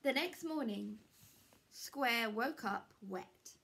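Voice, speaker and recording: A woman's voice: on the high side, slow, gravelly, a bit bright. A young woman, a primary school teacher, reading a picture book aloud to young children indoors. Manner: explains words and asks questions. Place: small furnished room at home, indoors.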